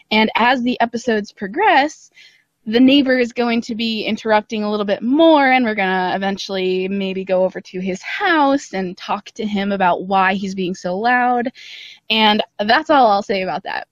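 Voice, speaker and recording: A woman talks casually as an interview guest on a podcast. Her voice sits at a middle pitch and she speaks in an informal, chatty way. The audio is a dry remote call with a slightly dull, lo-fi sound. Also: no room sound.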